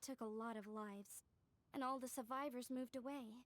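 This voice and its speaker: A woman voicing a friendly young street-smart artisan in a video game, expressive and explanatory. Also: on the high side, normal speed, no echo, close to an everyday voice. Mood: pensive. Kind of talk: video game dialogue acting.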